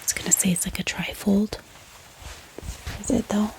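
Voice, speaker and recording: A woman speaking in a soft, gentle ASMR whisper, musing aloud in an unhurried way as she hosts. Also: mid-range voice, steady tone, low-quality recording. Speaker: ASMR book haul host.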